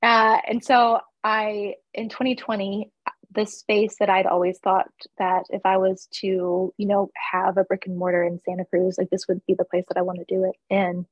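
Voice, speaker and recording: A woman's voice: mid-range voice, monotone, moderate pace, no echo, muffled recording. A woman from the East Coast who owns a flower shop, talking candidly in a casual interview with fillers and laughs. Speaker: florist and shop owner.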